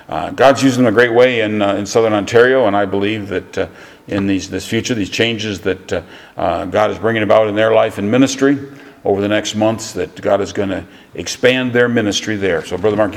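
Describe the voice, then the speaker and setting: A pastor introducing a guest preacher, speaking haltingly with many fillers and restarts, recorded in a church. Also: male voice, fairly low pitch. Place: church sanctuary. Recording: church hall reverb.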